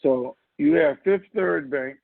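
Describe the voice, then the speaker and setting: Gravelly higher-pitched man on a phone line. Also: takes it slowly, no room sound. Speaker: host of a call-in conference call. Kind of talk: casual unscripted phone talk with callers. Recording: muffled narrow phone line.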